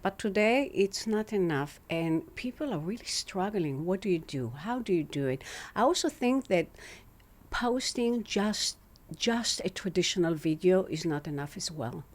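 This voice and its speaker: A woman's voice: on the low side, animated, moderate pace. A woman hosting an interview show, presenting her guest and asking questions. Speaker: interviewer and host. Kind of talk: scripted introduction and interview.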